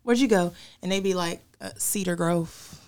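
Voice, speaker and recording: A woman's voice: fairly low pitch, normal speed, darkish tone. A young woman from Atlanta, reflective and earnest in a casual group chat. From Atlanta, Georgia. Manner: reflective, earnest, explains at length.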